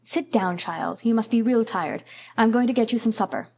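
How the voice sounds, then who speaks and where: A woman's voice: a little high, moderate pace, creaky, warm tone, slightly rough. A woman voicing a reserved, stern woman of sixty with a dry manner. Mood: brisk.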